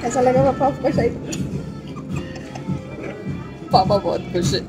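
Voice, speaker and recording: A woman's voice: fairly high pitch, measured pace. A young adult woman with an Indian accent chatting casually as she eats and reviews food in a restaurant. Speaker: food vlogger. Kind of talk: casual chat while eating. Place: indoor restaurant. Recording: loud music over restaurant talk.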